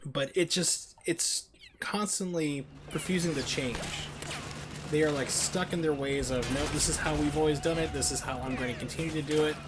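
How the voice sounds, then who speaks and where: A man's voice: fairly high pitch, fairly even intonation, normal speed, background noise. A man streaming a video game, giving casual, opinionated and emphatic commentary as he plays. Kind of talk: casual live-stream commentary while playing.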